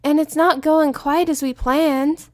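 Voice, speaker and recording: A woman's voice: high voice, fairly even intonation, a little slow, a little mellow, dry recording, clean studio sound, pitched above the performer's own voice. Woman doing a curious little unicorn filly. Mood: innocent.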